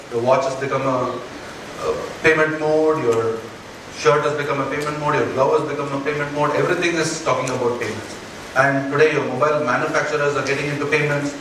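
A man in his forties with an Indian English accent, giving an earnest conference keynote on a large stage and often checking in with the audience with a quick "right?". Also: fairly high pitch, noisy background. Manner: earnest, rhetorical questions, frequent "right?" tags. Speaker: keynote speaker. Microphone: headset mic. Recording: echoey hall, heard from far off.

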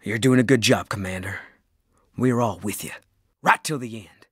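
A man's voice: fairly low pitch, moderate pace, fairly bright tone, husky, no room sound. Male space crewman, blue-collar, insubordinate, slangy, sardonic, game dialogue, close dry sound. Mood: respectful. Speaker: game character voice actor. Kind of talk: video game character barks.

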